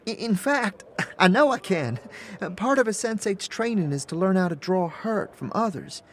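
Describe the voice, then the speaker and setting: A woman's voice: mid-range voice, lively intonation, moderate pace, a little hiss or hum, lightly acted. An actress playing an eager young woman student in an audio drama, stammering and apologetic.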